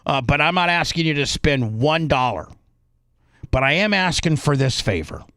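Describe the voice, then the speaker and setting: An American man with a slightly high voice hosts talk radio in a close, dry sound. He rambles through an off-the-cuff sponsor pitch, repeating himself and punching out lists. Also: sing-song, moderate pace, bright, forceful tone, no room sound. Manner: rambling, repetitive, emphatic listing. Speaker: radio show host.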